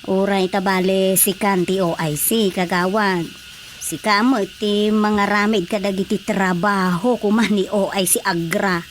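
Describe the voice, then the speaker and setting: A woman with an Ilocano accent playing a chatty, excitable village secretary in a radio comedy drama. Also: medium pitch, unhurried, slight background noise, lightly acted.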